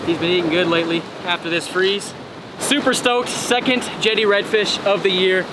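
A young man talking to camera while fishing off a rock jetty in rough sea, hyped and exclamatory, recorded on a body-worn action camera. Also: high voice, fairly even intonation, moderate pace, background noise. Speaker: fishing vlogger. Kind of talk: excited outdoor fishing commentary.

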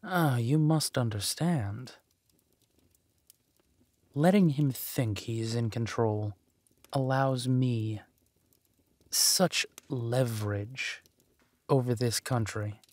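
A man playing a ruthless, haughty duke in a villain audio drama, drawling deliberately and with menace. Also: medium pitch, darkish tone, no echo. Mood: sly, scheming. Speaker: voice actor.

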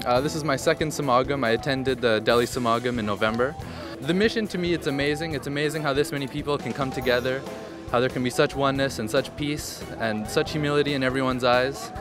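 A man's voice: medium pitch, quick pace, few pauses. A man in his twenties with a Canadian accent giving a testimonial into a handheld microphone. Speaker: interviewee, volunteer. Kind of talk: on-camera testimonial. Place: large busy tent camp. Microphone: handheld reporter microphone. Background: clear music.

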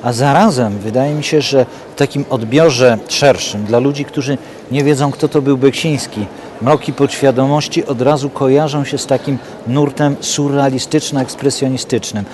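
A man giving reflective interview commentary, halting and repeating phrases for emphasis. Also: medium pitch, fairly even intonation, noisy background.

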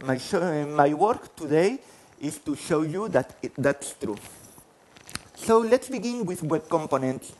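A man's voice: on the high side, lively intonation, unhurried, darkish tone, a little hiss or hum. A man in his forties from Spain with a Spanish accent, giving a conference talk on stage, self-deprecating, restarting phrases. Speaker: conference speaker. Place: large conference hall stage.

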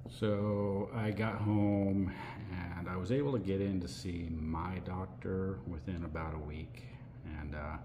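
Very deep, slow-talking man vlogging. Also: noisy background. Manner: relaxed, rambling, many fillers. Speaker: hiking vlogger. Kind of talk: casual vlog to camera. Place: outdoors by a lake, then at home indoors. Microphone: phone or camera at arm's length.

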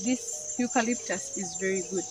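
A woman's voice: mid-range voice, moderate pace. Young woman, chatty village vlogger explaining plants, outdoor phone audio with music. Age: young adult. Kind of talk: casual vlog walkthrough. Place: outdoors in a rural field. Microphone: phone camera, often held at arm's length.

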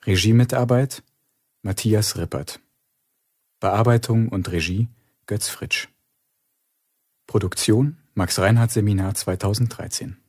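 Male announcer for scripted radio credits, lowish husky voice, unhurried pace. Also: steady tone, darkish tone, no room sound, studio quality.

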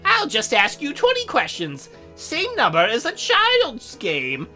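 A man voicing a teasing young female demon dealmaker in a coy, formal, measured way for a game. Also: extremely high pitch, huge pitch swings, sharp tone, faint music behind, exaggerated voice. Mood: coy, businesslike.

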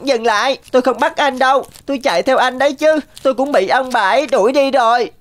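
A woman's voice: sits high, quick pace, faint music behind, natural voice. A woman voicing a small, clever cartoon rabbit with an earnest, coaxing manner. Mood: urgent. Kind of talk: animated cartoon dialogue.

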